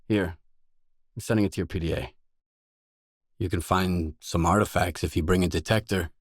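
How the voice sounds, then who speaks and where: An actor voicing a gruff, guarded lone stalker for hire in a video game, speaking in terse, dry, self-assured lines. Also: male voice, sits low, dry recording. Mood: dry, defiant.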